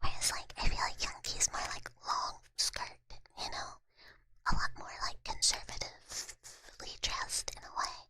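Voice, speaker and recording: Whispering young woman streamer. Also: normal speed. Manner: soft whisper, casual filler-heavy talk. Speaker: streamer reading chat. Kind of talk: whispered ASMR chat.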